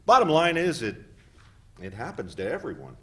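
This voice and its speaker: A man playing a genial, corny middle-aged dad in a play reading, with a halting delivery. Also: mid-range voice, huge pitch swings, bright, forceful tone. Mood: reassuring. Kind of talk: dramatic reading of a student play.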